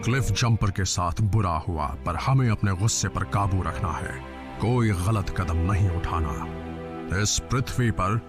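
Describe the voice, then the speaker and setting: A very low-voiced, noble robot leader in an animated action drama. He speaks slowly and gravely, with measured authority, over a loud musical score. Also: loud music behind the voice. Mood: solemn, resolute. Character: noble, solemn robot commander leading his soldiers. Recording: loud film score under the voice.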